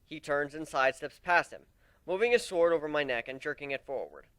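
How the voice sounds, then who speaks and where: A man reads a fantasy story aloud as its narrator, in an amateur dramatic style. His voice is slightly high, and the recording is dry with no room sound. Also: expressive, a little fast, bright, forceful tone, clear, resonant tone, no room sound. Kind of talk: amateur dramatic story reading, first-person.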